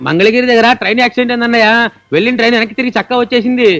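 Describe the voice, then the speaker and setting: A fast-talking little brother, high voice, tumbling words. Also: male voice, fairly even intonation, a bit bright. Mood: hurried. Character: hasty younger brother of the priest.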